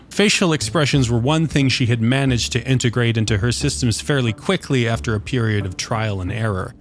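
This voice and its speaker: A man reading scripted fiction as the narrator of a story. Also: medium pitch. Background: soft guitar music.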